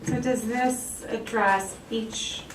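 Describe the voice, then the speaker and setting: A woman's voice: fairly high pitch, steady tone, slow pace. A woman speaking conversationally as a board member, asking questions and making motions at a public meeting. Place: indoor meeting room. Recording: echoey, noisy room, distant microphone.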